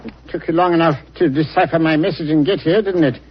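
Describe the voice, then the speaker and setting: A man's voice: fairly high pitch, quick pace, a crowd in the background, lightly acted. An actor playing an imperious, crotchety old man, brusque and impatient, in 1940s radio drama style. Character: crotchety, imperious old rich uncle in a wheelchair. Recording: dull, lo-fi 1940s radio recording.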